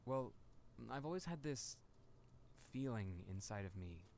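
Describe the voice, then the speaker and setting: An actor voicing an earnest young male artist in a story game, speaking softly and hesitantly. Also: medium pitch, animated, measured pace, a little hiss or hum, close to an everyday voice. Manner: soft-spoken, searching, hesitant. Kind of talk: game voice acting.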